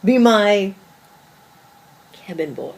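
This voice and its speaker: A woman's voice: medium pitch, expressive, very slow, a little mellow. A woman playing a guarded, cutting and evasive girlfriend in a table read of a stage play, dry, blunt and conversational. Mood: teasing.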